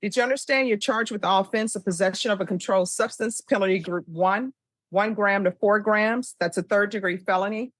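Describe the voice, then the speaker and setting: An American woman speaking as a judge, putting formal procedural questions in a brisk, authoritative, rote manner. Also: mid-range voice, dry recording. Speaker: judge presiding over a plea hearing. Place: courtroom.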